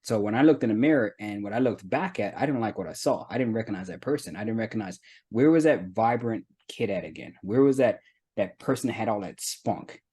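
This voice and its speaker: An American man in his thirties, a guest telling his own story in an earnest, reflective way, often pausing with fillers. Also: on the low side, expressive, dark tone, husky. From United States. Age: 30s. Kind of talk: candid personal testimony.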